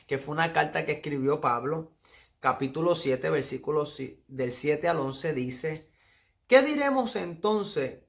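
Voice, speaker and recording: A man's voice: on the high side, vocal fry, a bit bright. A man with a Puerto Rican accent giving an earnest Bible study sermon and reading verses aloud. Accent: Puerto Rican Spanish. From Puerto Rico. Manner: earnest, teaching, reads verses aloud slowly. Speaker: preacher.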